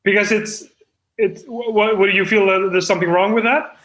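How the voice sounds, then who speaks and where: A man in a comedic table read, halting and self-important, defensively presenting his screenplay and reading stage directions. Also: high voice, steady tone, fairly bright tone. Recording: roomy echo, music behind.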